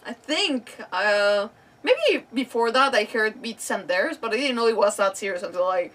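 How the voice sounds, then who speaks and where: A woman's voice: on the high side, animated, moderate pace, fairly bright tone, distant mic. A woman live-streaming a game, chatting casually in a rambling way and often interrupting herself. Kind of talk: casual livestream chat and reactions over a game.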